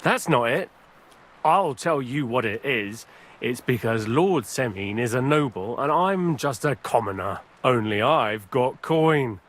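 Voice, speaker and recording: An actor playing a chatty, aggrieved middle-aged medieval village bailiff in a video game. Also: male voice, mid-range voice, lively intonation, a little slow, a bit bright. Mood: indignant. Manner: garrulous, grumbling.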